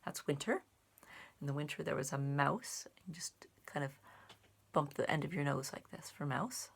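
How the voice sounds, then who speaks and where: A woman's voice: low-pitched, moderate pace, vocal fry, slightly rough. A woman reading and explaining in simple language, as a storyteller and sign language teacher for young children. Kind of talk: picture-book read-aloud and casual sign language teaching.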